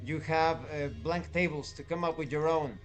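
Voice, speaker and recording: Male game reviewer, slightly high voice, deliberate emphatic phrasing, light music bed underneath. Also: fairly even intonation. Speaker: tabletop game reviewer and channel host. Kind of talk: review commentary to viewers.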